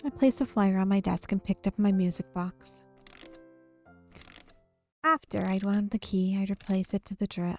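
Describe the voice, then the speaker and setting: A woman's voice: mid-range voice, a little mellow. A woman streaming a visual novel, reading its narration aloud expressively and sometimes correcting herself mid-sentence. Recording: background music under the voice. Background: game music.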